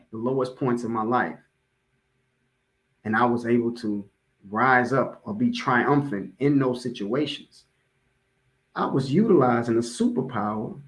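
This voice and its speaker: Male American preacher, mid-range voice, informal motivational address, emphatic repetition, conversational with listeners. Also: medium pitch. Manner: emphatic repetition of key phrases. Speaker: religious speaker giving a public address.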